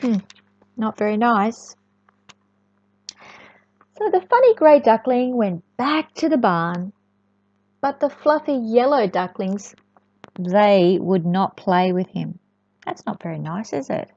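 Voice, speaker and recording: Animated woman storyteller, slow and echoey. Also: mid-range voice. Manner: expressive, sing-song, asking the listener questions. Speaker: children's storyteller. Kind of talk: storybook read-aloud with chatty asides to young children. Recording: echoey room, dull lo-fi sound.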